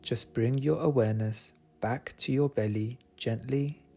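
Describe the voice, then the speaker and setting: A man guiding a meditation in a soothing, gentle and unhurried manner. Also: mid-range voice, fairly even intonation. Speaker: meditation guide. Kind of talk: guided meditation narration. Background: soft meditation music.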